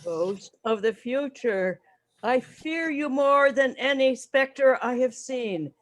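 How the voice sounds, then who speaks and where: A woman's voice: sits high, expressive, takes it slowly, a little hiss or hum. A woman playing a fearful, humbled old male miser in a dramatic story reading, solemn and earnest.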